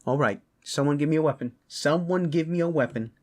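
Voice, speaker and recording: A man's voice: mid-range voice, expressive, moderate pace, lightly acted. A man voicing a hot-tempered, vengeful father and roguish paladin, brash and blunt, in a fantasy audio roleplay. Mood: furious. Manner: brash, blunt, foul-mouthed.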